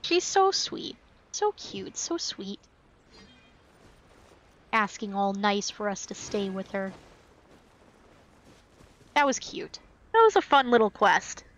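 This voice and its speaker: A woman's voice: mid-range voice, sing-song, slow, long pauses, fairly bright tone, lo-fi sound. A woman livestreaming a game, chatting casually and cutely to her viewers as she plays. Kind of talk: casual livestream chat while playing.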